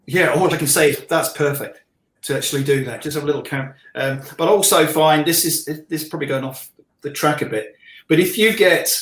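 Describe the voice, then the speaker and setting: A man's voice: a little high, fairly bright tone. A middle-aged man chatting casually as a guest, rambling and jokey, talking about his work as an illustrator. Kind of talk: casual chat. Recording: roomy, echoey sound.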